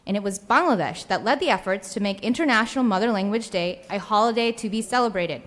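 A woman's voice: medium pitch, fast, fairly bright tone. Young woman senator reading earnestly. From United States. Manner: earnest, read from notes. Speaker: state senator. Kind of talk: prepared floor speech. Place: large legislative chamber. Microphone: podium microphone. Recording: long hall reverb in chamber.

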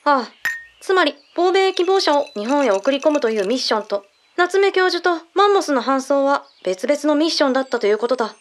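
A woman playing a brisk, matter-of-fact female agent in a radio drama, speaking in blunt, mannish phrasing as she explains. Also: high voice, expressive, a little hiss or hum. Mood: matter-of-fact, explanatory. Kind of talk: Japanese radio drama acting.

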